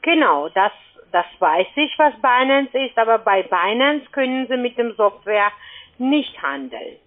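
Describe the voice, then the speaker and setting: High-pitched saleswoman on a tinny phone line. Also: sing-song, measured pace. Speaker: cold-calling telephone sales agent.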